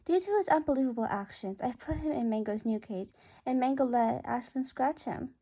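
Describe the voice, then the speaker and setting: A woman's voice: high voice, moderate pace, mellow, poor audio. A woman reading a first-person children's story aloud as its narrator.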